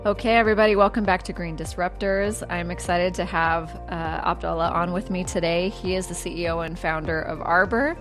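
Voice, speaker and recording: Podcast hostess with music behind. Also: female voice, fairly low pitch, steady tone, brisk, hardly any pauses. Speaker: podcast host. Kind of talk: podcast interview.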